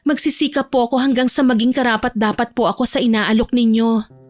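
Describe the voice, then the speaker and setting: A woman's voice: on the high side, fairly even intonation, a little fast, faint music behind, lightly acted. A woman voicing a humble, polite, principled young working woman in a Tagalog audio drama. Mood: humble, earnest.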